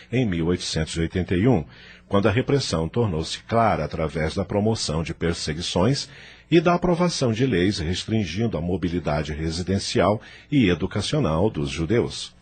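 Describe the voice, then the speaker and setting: Deep, very husky male narrator. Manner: solemn, theatrical. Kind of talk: dramatic scripted audiobook narration.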